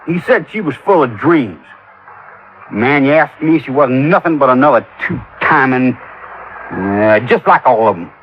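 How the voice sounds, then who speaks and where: A man's voice: mid-range voice, lively intonation, measured pace, lightly acted. An actor playing a talkative, plainspoken waterfront boat repairman with a Southern drawl in a 1950s radio drama. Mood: gossipy. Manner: rustic, chatty, colloquial drawl. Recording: dull, noisy old radio recording.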